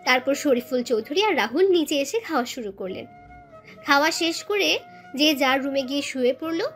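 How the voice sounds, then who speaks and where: Female storyteller, high voice, scripted narration of family romance over music. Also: lively intonation, moderate pace, fairly bright tone. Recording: music bed under voice.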